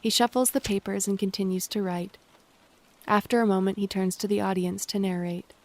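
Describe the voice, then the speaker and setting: A woman's voice: medium pitch, fairly even intonation, brisk, natural voice. A woman narrating an audio drama, reading out scripted stage directions.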